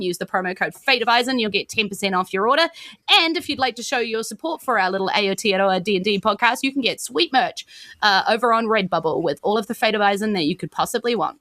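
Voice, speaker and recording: Female podcast host, New Zealand accent, bright and forward, gushing and chatty, close dry sound. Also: a little high, no room sound. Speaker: podcast host and game master. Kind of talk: casual podcast sign-off and announcements.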